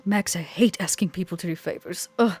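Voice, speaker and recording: A woman playing a composed, persuasive female vampire club owner in a tabletop game, earnest and measured, with a breathy, husky voice. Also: on the low side, a little mellow. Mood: weary.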